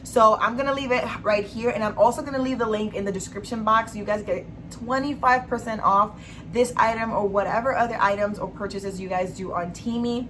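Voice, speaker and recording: Woman, young adult, raspy hoarse voice, enthusiastic casual vlog, audible background noise. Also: fairly high pitch, steady tone, brisk. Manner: chatty, enthusiastic, many fillers. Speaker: beauty vlogger. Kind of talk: casual monthly favorites vlog.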